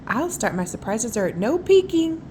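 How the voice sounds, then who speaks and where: A woman's voice: fairly high pitch, very animated, normal speed, a little mellow, a little hiss or hum, pitched above the performer's own voice. A woman voicing a flustered, fussy, bustling older hostess, Santa's wife, for a children's storybook.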